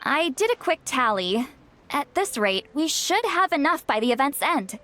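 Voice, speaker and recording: A woman's voice: high-pitched, sing-song, normal speed, bright, forceful tone, faint music behind, heavily acted. A woman voicing a self-assured young woman sage in a video game, matter-of-fact in tone.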